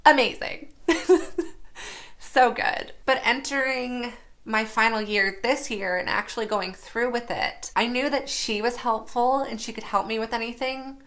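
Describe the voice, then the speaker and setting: A young woman speaking candidly to the camera in a personal vlog, her voice sitting a little high. She sounds reflective, with a faint rasp at times, and laughs at herself now and then. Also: unhurried, a bit bright. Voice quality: slightly raspy at times. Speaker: nursing student.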